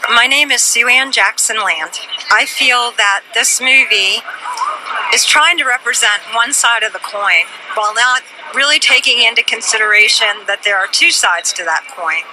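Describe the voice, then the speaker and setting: A woman giving personal testimony in deliberate, emphatic short phrases, outdoors on the street. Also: on the high side. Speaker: protester and interviewee. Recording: faint street noise behind.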